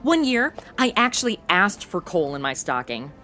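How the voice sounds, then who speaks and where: A woman's voice: lowish voice, sing-song, normal speed, sharp tone, faint music behind. A woman playing a sarcastic, blunt modern sidekick in a comedy audio drama, talking casually. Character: snarky modern young woman tagging along as sidekick. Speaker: show's lead and host.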